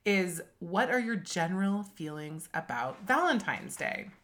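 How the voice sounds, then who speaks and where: A woman co-hosting a podcast in casual chat, playful and quick to laugh. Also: mid-range voice, lively intonation, fairly bright tone. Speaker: podcast co-host.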